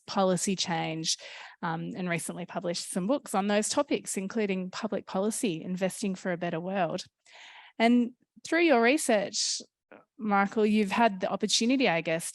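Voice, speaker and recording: A woman moderating a panel discussion, who introduces the guest and asks questions in a measured way with fillers. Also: on the low side, dry recording.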